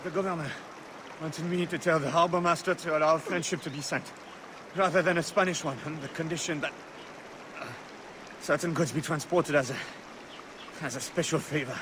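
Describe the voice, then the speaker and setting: Nervous high-voiced messenger, played by a man, noisy background. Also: steady tone, brisk, long pauses, close to an everyday voice. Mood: frightened, evasive. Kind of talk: dramatic game cutscene acting. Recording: noisy game ambience behind.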